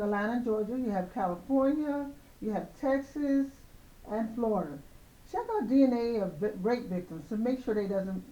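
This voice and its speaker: A slightly hoarse woman hosting a show of casual opinion commentary on celebrity news, speaking in an emphatic, admonishing way. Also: mid-range voice, mellow. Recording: roomy, echoing, dull lo-fi sound.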